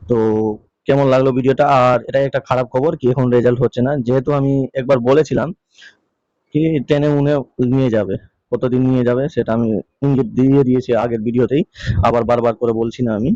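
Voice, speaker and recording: A man's voice: medium pitch, dark tone, no echo. A man hosting a casual vlog update, talking in a repetitive, pleading way. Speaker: video host. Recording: dull, lo-fi close dry sound.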